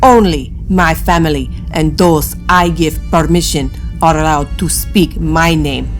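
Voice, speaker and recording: A woman's voice: sits low, unhurried, soft background music. A woman playing a proud, defiant fleet admiral in a space audio drama, stern and scornful. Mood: cold fury.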